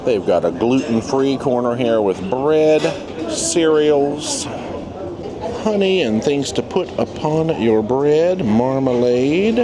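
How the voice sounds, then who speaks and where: A man's voice: mid-range voice, lively intonation, slow, crowd noise. A man narrating a casual travel vlog in a playful, exclamatory way. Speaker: travel vlogger. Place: on board a cruise ship, various spaces. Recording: clear background noise, ship ambience.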